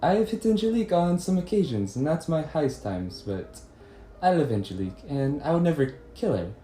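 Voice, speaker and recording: Man as defensive French husband, French accent, halting, very lively intonation, faint music, puppet-show whodunit. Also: on the high side, reverberant. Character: Emil, defensive French husband of a murdered woman. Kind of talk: amateur puppet-show murder mystery.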